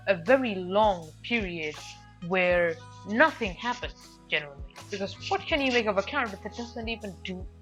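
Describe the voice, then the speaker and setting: A woman's voice: medium pitch, bright, forceful tone, poor audio. A woman reviewing anime in an informal video essay, speaking emphatically and repeating phrases for emphasis.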